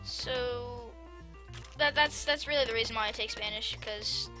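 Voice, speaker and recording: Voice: medium pitch, a little slow. A gameplay commentator chatting casually over a video game, rambling and hesitant with many restarts. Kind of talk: casual let's-play chat. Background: game music.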